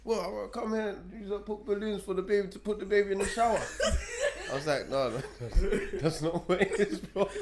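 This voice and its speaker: A young man with a slightly high voice banters on a podcast. He is teasing and slangy, and the recording is heavily noisy with some clicking. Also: brisk. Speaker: podcast speaker. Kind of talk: casual banter.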